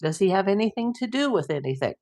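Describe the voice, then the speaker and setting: A woman's voice: mid-range voice, very animated, fast, darkish tone. A middle-aged woman hosting a casual livestream, chatting and reading viewer comments while losing her voice. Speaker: livestream host.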